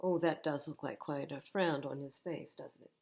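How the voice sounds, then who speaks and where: An older woman reading children's stories aloud at storytime, expressive and unhurried, with little asides to young listeners. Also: deep voice, creaky. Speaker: library storytime reader.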